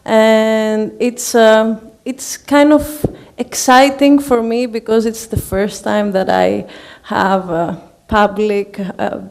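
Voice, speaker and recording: A woman's voice: on the high side, steady tone, takes it slowly, a little mellow. A young woman from Greece with a Greek accent, giving an academic talk with many fillers and hesitations. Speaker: guest speaker.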